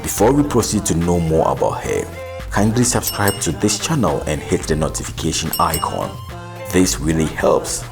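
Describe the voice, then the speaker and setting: A man's voice: very deep voice, lively intonation, normal speed, vocal fry, music in the background. A man from Ghana hosting an interview in a vehicle showroom, asking questions with a lapel mic. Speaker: host. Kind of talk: interview and voice-over intro. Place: showroom with electric bikes.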